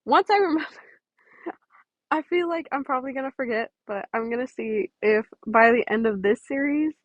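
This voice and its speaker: Casting: female amateur story reader, higher register, casual and conversational, dry and slightly lo-fi home sound. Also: moderate pace, no echo. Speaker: podfic reader. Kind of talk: story narration and casual chat to listeners.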